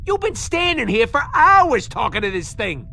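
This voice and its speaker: A man voicing a brash, skeptical little creature sidekick in an animated space game, blunt and streetwise. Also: very high voice, moderate pace, full character voice. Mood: wary, nagging. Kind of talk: animated game drama acting.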